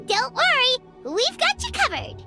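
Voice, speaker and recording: A woman voicing a small, cheerful, chatty fairy companion in a video game, with a squeaky childlike voice and exclamatory delivery. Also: sing-song, takes it slowly, over loud music, heavily acted. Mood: excited. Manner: bubbly, exclamatory, third-person self-reference. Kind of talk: video game cutscene acting.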